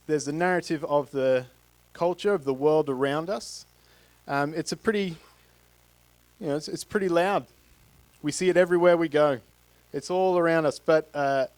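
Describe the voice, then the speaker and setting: A man in his thirties with an Australian accent, speaking as a guest at a church and holding a handheld mic, halting and full of fillers and restarts. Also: a little high, no room sound, low-quality recording. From Queensland, Australia. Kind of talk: church talk to a congregation. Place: church stage with a live congregation.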